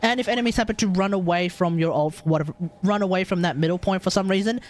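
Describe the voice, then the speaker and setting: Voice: mid-range voice, fast. A streamer with an Australian accent giving casual, rambling, jokey live commentary on a game. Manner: rambling, jokey, easily amused.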